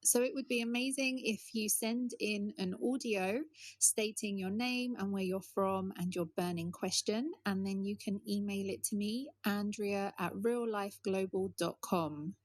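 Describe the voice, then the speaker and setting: A woman's voice: mid-range voice, unhurried, no room sound. A woman co-hosting an English-learning podcast, explaining word meanings with examples in a casual, friendly way. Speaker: podcast co-host.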